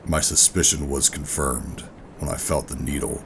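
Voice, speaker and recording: Very deep, gravelly brooding operative. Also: male voice, fairly even intonation, noisy background. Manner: brooding, dramatic, deliberate. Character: bitter, defiant rogue sci-fi operative, hardened and wounded. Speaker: narrator and lead character. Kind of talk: noir first-person audio drama narration and dialogue. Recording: dramatic music behind the voice.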